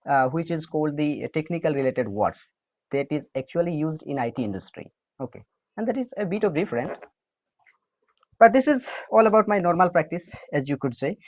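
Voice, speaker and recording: Odia-accented guy chatting, voice swings up and down a lot, says okay constantly, muffled lo-fi sound. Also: a little high. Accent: Odia-accented English. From Odisha, India. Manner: animated, fills pauses with "okay". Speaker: interview guest, English learner. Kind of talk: casual conversation on a call.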